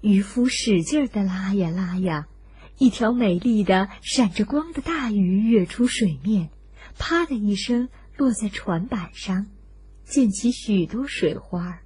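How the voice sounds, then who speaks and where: A woman with a notably husky, breathy voice narrates a story for children. She reads at an unhurried pace in an expressive, deliberate way. The recording is dry and close. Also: mid-range voice, dry recording.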